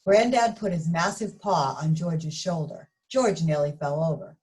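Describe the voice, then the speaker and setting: Animated woman reading to young children. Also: low voice, measured pace. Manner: expressive, addressing children directly. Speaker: story reader for young children. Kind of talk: read-aloud picture book narration.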